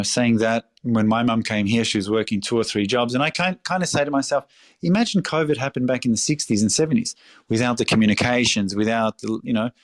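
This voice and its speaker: A middle-aged Australian restaurateur talking in a casual interview, passionate and emphatic, swearing freely. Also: male voice, medium pitch, dry recording. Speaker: restaurateur guest.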